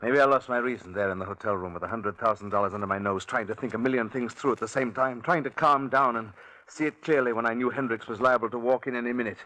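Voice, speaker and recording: A man narrating a 1940s radio suspense drama in a measured, confiding storyteller's manner. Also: medium pitch, bright, forceful tone. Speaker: narrator and lead actor. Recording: dull old radio broadcast with music.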